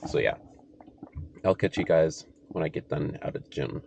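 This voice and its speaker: A young man with a very low, slightly congested voice talks in a loose, casual vlog style. He is recorded inside a car, with plainly audible vehicle noise around him. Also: steady tone, moderate pace, gravelly, a little mellow. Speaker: vlogger. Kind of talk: casual vlog chat to camera. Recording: noisy car interior with engine hum. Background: car and road noise.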